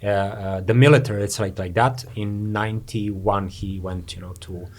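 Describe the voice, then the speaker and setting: A man's voice: on the low side, steady tone, moderate pace. Rambling man from Croatia, says "you know". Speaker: podcast guest, entrepreneur. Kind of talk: casual interview chat.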